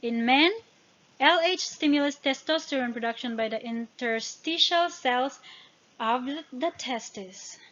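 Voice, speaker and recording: A woman with a Filipino accent and Tagalog and Visayan as first languages, narrating an informal lesson from notes and halting over technical words. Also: sits high, fairly bright tone, lo-fi sound. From Philippines. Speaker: educator.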